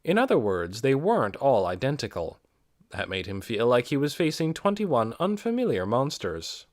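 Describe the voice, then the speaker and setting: Expressive man narrating, very lively pitch. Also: normal speed, dry recording, clean studio sound. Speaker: amateur audiobook reader. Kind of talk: scripted fantasy novel narration.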